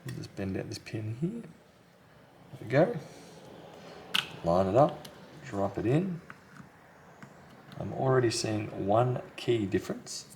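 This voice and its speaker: A man's voice: lowish voice, sing-song, slow pace, vocal fry, long pauses, a little mellow, a little hiss or hum. A man talking casually and thinking aloud as he presents a hands-on repair tutorial. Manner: unscripted, thinking aloud while working. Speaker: repair technician, video host. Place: indoors at a repair workbench.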